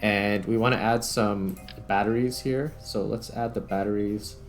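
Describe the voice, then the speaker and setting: A man's voice: on the low side, steady tone, unhurried, faint music behind. A man giving casual let's-play commentary as a gaming video host, thinking aloud in an informal way.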